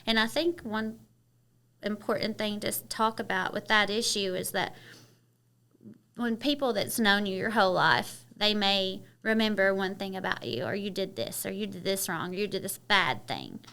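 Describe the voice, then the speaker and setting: Bright Kentucky-accented woman, chatty. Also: medium pitch, normal speed, smooth. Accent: Southern US, Kentucky twang. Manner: open and chatty, many "you know" fillers. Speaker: podcast guest. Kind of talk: casual interview chat.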